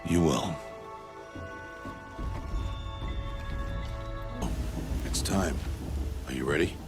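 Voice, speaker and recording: Older game mentor, very low voice, stern, solemn, measured, loud music behind. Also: male voice. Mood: calm, reassuring. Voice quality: deep, gravelly. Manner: measured, grave, restrained. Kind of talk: dramatic video game acting.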